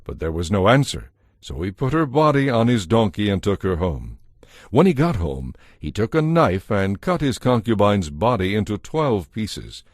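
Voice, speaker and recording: A man narrating a dramatized Bible as a scripted story, in a measured storytelling manner. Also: on the low side, big pitch swings, no room sound, studio quality.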